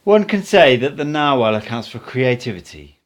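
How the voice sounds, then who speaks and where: A man's voice: mid-range voice, big pitch swings, normal speed, pitched above the performer's own voice, close to an everyday voice. Man voicing an unruffled, teasing mystic teacher. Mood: calm, explaining. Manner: declarative, instructive, teasing. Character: male mystic teacher, unruffled, mocking, cryptic. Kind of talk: audiobook character dialogue.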